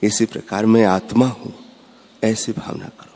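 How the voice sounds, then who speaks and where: A man giving a measured, instructive spiritual discourse, repeating his points. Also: lowish voice, fairly even intonation, darkish tone, slight background noise. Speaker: spiritual speaker. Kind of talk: slow devotional discourse. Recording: long hall reverb.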